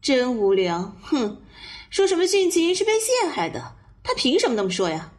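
An actress playing a dismissive, petulant sister-in-law who scoffs at others in a Chinese audio detective drama. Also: female voice, sits high, wildly swooping pitch, moderate pace. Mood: scornful, defensive. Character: dismissive, scornful sister-in-law in wealthy family mystery. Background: soft music.

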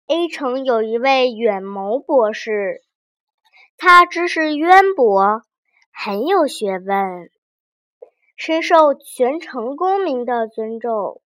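High-voiced, unhurried woman storyteller. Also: no room sound, studio quality. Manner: animated, addresses young listeners with questions. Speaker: children's storyteller. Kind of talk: expressive scripted fairy-tale narration.

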